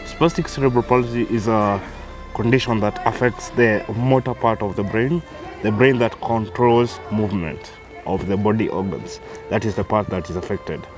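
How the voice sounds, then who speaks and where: A man's voice: fairly low pitch, normal speed, music in the background. A man in his thirties with a Kenyan accent, a guest telling his life story, who hesitates and repeats words as he starts. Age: 30s. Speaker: interview guest.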